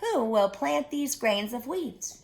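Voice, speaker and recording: A woman voicing an industrious, self-reliant little hen in a storybook, earnest and deliberate. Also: fairly high pitch, animated, darkish tone, voice pushed up. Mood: earnest, determined. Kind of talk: storybook character voice.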